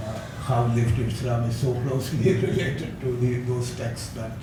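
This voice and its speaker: An older man giving a conference talk, hesitant with many fillers and soft chuckles. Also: mid-range voice, steady tone, normal speed, music in the background. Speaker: academic speaker. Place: lecture hall. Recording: echoey hall, distant mic, noisy.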